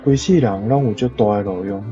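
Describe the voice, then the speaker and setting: A man's voice: on the low side, a little fast, dark tone. A man with a Taiwanese accent, a language teacher reading out translations. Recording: dull lo-fi recording. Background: faint music.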